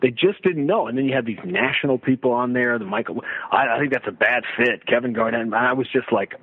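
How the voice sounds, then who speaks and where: A man talking sports casually as a broadcaster guest, telling stories and throwing out rhetorical questions in an animated way. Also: medium pitch, fairly quick, breathy edge. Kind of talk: casual sports radio discussion. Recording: roomy, thin phone-like radio line.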